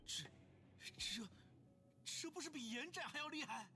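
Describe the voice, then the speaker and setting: Haughty, greedy client with lively swooping pitch. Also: female voice, normal speed, over music. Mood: flustered surprise. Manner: indignant, entitled, complaining. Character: haughty, greedy, argumentative treasure-seeking client. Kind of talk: anime-style video game voice acting.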